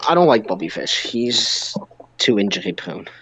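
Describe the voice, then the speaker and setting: A man's voice: medium pitch, big pitch swings, normal speed, a bit bright, breathy edge, dry recording, low-quality recording. A man co-hosting a podcast, chatting casually about wrestling. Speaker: podcast co-host.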